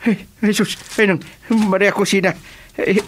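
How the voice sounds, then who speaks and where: A man's voice: high-pitched, steady tone, a little slow. Man acting a flustered old captive soldier, faint noise. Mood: excited dismay. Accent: Ilocano. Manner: stammering, exclaiming. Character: flustered older male captive soldier, comically panicky. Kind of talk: comedic radio drama acting.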